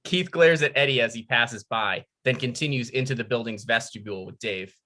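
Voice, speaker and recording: Casting: male script narrator, medium pitch, forward bright tone, reading scene headings and action. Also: fairly quick. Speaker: narrator reading stage directions. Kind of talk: scripted narration at a table read.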